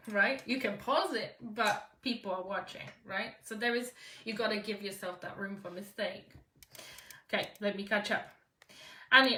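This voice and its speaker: Bright Polish-accented woman coaching on a live stream. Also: on the high side, roomy sound, recorded from a distance. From Poland. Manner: encouraging, reads out viewer comments, frequent "right?". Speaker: business coach. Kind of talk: live-stream talk to viewers.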